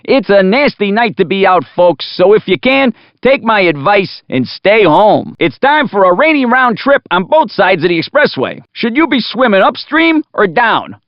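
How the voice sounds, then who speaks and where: A cocky male radio announcer delivers teasing, folksy race patter for a video game. His intonation leaps around in a very animated, showy way. The recording is dry and a little dull, like compressed game audio. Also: high voice, normal speed, no echo. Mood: mock concerned, then hyped, dramatic.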